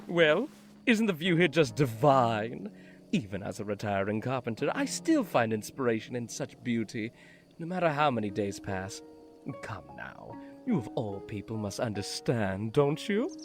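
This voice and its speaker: Older retired carpenter, flamboyant and gracious, hugely animated intonation, fond teasing; audio play. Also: male voice, medium pitch, moderate pace. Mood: grandly admiring. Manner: theatrical, effusive, affectionate teasing. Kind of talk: fan audio drama acting. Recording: music under the voice.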